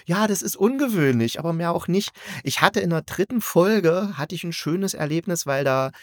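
A man's voice: a little high, animated, moderate pace, dry recording, studio quality. A man hosting a casual review conversation, thinking aloud in a colloquial, rambling way. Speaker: podcast host and audio drama reviewer.

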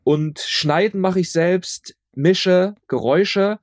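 A man's voice: sits high, takes it slowly, no echo, clean studio sound. A man being interviewed as a radio play maker, chatting casually and often repeating words for emphasis.

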